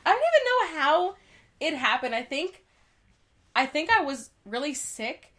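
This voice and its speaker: A woman's voice: sits high, sing-song, unhurried, a bit bright. A young woman, co-hosting a podcast in a casual chat, congested, laughing often and talking with lots of fillers. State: congested, dry throat. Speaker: podcast co-host.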